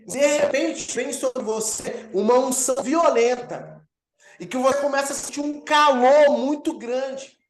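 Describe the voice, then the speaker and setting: A man with a Brazilian accent, an apostle teaching a healing course over a video call, energetic and emphatic as he answers questions. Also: extremely high pitch, unhurried, slight background noise. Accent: Brazilian Portuguese. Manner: energetic preacher, swells and emphasizes key words. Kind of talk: live online teaching with Q&A.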